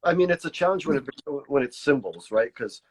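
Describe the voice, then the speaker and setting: A man's voice: medium pitch, animated, brisk, husky. A man born in Mexico with Spanish as his first language, speaking English with a slight border accent, talking casually in an interview, hesitant and searching for words with many restarts. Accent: pocho border Spanglish-tinged American English. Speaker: artist, interview guest.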